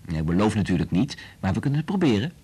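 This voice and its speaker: An actor in a radio drama playing a kindly male doctor, speaking gently and reassuringly as if to a child. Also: lowish voice, big pitch swings, fast talker, slightly rough, exaggerated voice. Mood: gentle, reassuring. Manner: gentle, coaxing.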